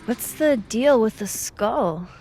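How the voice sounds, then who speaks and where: Slow-talking, awkward young woman. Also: fairly high pitch, lively intonation, a little mellow, faint music behind. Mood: curious. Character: casual, slightly awkward young woman, dryly curious. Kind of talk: naturalistic video game voice acting.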